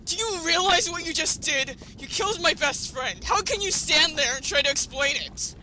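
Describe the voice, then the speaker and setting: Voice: high voice, lively intonation, moderate pace, bright, forceful tone, slight background noise, heavily acted, rough recording. A performer in an audio drama playing a bitter, vengeful young human who accuses with cold intensity. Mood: furious, grieving. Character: Frisk, furious vengeful young human protagonist turning cold.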